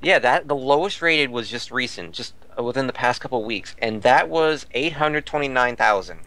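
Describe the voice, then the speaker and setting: A man's voice: medium pitch, animated, normal speed, pushed and bright. A man talking casually as a podcast panelist, explaining things in a detailed, digressive way. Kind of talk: casual discussion, reporting figures.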